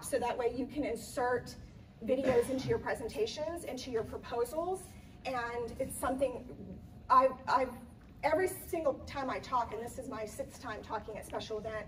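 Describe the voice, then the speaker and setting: A slightly hoarse woman gives a chatty conference talk, pitched a little high. She fills pauses with ums and restarts. She sounds a bit distant from the mic, with faint room ambience behind her. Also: background noise. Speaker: event planner giving a conference talk. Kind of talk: live presentation to an audience.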